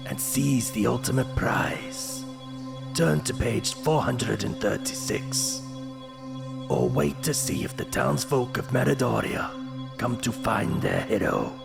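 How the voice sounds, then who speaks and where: A man narrates a horror gamebook with dramatic menace. His low voice is heavily gravelly and swings widely in pitch. Music plays beneath him. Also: big pitch swings, a little slow. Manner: dramatic, ominous storytelling. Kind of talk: scripted second-person gamebook horror narration. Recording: music under the voice.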